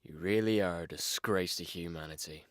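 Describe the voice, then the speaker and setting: An actor playing a determined, principled investigator in a radio drama, earnest and resolute. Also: male voice, low voice, normal speed, fairly bright tone, dry recording, studio quality. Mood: indignant, resolute. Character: indignant, determined male investigator.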